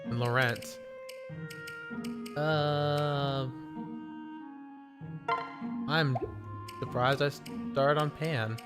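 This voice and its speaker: A male streamer talking casually and loosely, rambling and poking fun at himself. His voice sits at an ordinary middle pitch. Game music plays clearly behind him. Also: fairly even intonation, drawn-out words, long pauses. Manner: rambling, self-deprecating, chatty. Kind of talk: casual live stream talk.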